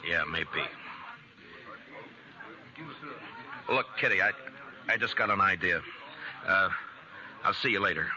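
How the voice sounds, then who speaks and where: An actor in a 1950s radio western, playing a weary, plain-spoken, conscience-stricken frontier marshal. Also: male voice, low voice, pushed and bright. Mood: resolved. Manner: plain-spoken, hesitant, folksy drawn-out phrasing. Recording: dull old radio recording.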